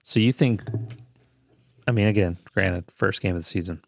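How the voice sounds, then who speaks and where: A man's voice: deep voice, moderate pace, vocal fry. A man co-hosting a podcast, chatting casually about sports in short, loose, conversational phrases. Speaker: podcast co-host.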